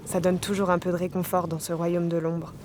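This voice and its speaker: Actress as a weary woman sailor, loud ambience. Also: fairly low pitch, flat delivery, close to an everyday voice. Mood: sober, tense. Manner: measured, terse, introspective in the log; colloquial on radio. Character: weary solo woman sailor keeping a logbook at sea. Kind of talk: scripted audio fiction, logbook narration. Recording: loud vehicle-like ambient noise behind.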